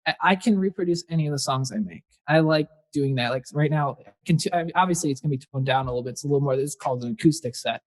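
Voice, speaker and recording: A man's voice: on the high side, very fast, few pauses. A young man, a singer-songwriter guest, chatting casually in a podcast interview and rambling with fillers. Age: young adult.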